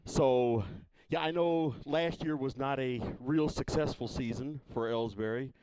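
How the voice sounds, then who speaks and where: A man broadcasting a high school baseball game from a ballfield press box, talking in a folksy, unhurried way with many fillers and restarts. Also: darkish tone, clear, resonant tone, no echo, rough recording. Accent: Missouri. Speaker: play-by-play broadcaster. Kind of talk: casual local sports broadcast.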